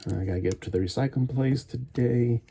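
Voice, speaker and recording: A man's voice: mid-range voice, a little fast, vocal fry, warm tone, slight background noise. A man chatting at home as he builds a toy kit live, meandering and thinking aloud with frequent restarts. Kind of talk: rambling live-stream chat while building.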